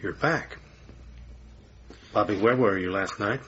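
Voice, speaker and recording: A man acting in a radio drama as a bitter, needling, self-pitying theatre manager and lover, with a husky voice. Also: fairly low pitch, slow pace, fairly bright tone, dull audio, slight background noise. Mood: agitated, bitter.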